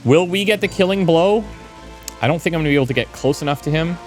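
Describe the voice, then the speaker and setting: A man's voice: fairly high pitch, quick pace, music in the background. A man giving casual commentary as he plays a video game. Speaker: host of a gameplay series.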